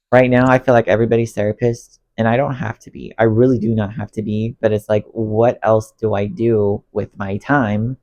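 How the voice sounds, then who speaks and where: A man vlogging a rambling, emotional rant to camera while cleaning his bedroom, congested and losing his voice. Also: mid-range voice. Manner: rambling, emotional, self-talk, expressive swings. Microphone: phone on stand under ring light.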